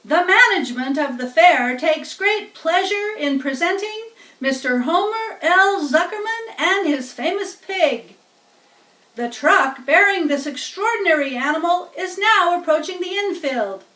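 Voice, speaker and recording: A woman voicing a pompous, booming loudspeaker announcer at a country fair in a storybook reading, speaking formally and grandly. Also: expressive, heavily acted. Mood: grand, proclaiming. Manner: pompous, formal, slow proclaiming. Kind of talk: storybook character voice. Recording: roomy, distant-sounding home recording.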